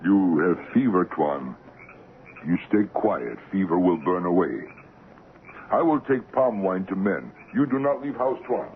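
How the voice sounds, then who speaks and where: An actor playing a shrewd, servile trading-post clerk in the Congo, speaking clipped broken English with cool deference in a 1970s radio drama. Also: male voice, low voice, animated, a little slow. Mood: firm, deferential. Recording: noisy, tinny 1970s radio broadcast.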